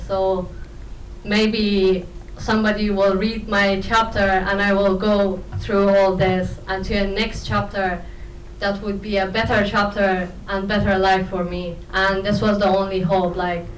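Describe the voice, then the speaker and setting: A young woman from Afghanistan, not a native English speaker, answering questions informally and haltingly at a film talk. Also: medium pitch, little pitch movement. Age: young adult. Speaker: documentary film protagonist. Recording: roomy, distant mic, faint noise.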